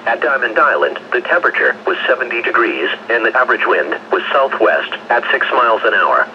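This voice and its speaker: A man's synthetic, measured, impersonal voice reading a scripted forecast as a weather radio announcer. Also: medium pitch, very animated. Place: radio speaker picked up by camera in room. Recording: dull lo-fi weather radio, noisy.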